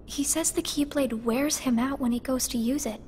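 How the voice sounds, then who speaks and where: A woman voicing a soft-spoken, caring, anxious teenage girl in a video game cutscene, speaking gently and earnestly. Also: high-pitched, moderate pace, slight background noise, natural voice. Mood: earnest, unsure.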